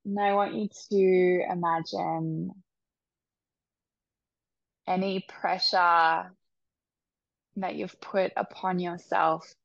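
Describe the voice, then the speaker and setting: A woman leading a guided meditation with soft, soothing instructions. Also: fairly low pitch, level tone, vocal fry, no echo, dull audio. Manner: soft, slow, soothing instructions with long pauses.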